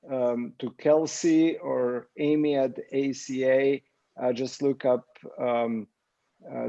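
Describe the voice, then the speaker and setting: A man moderating a virtual conference session, briskly steering the questions. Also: medium pitch, warm tone. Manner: brisk, directing the discussion. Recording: lo-fi video call audio.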